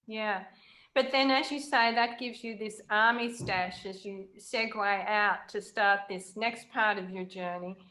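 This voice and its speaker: A woman interviewing in a conversational way, reflective and pausing to choose her words. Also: medium pitch, fairly even intonation, pushed and bright, poor audio. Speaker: interviewer, writer.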